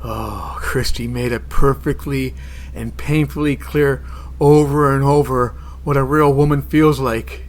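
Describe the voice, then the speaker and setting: A man's voice: medium pitch, steady tone, unhurried, natural voice. A man playing a hapless, hot-tempered monster hunter in a comedy-horror audio drama, pleading and exasperated. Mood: miserable, flustered.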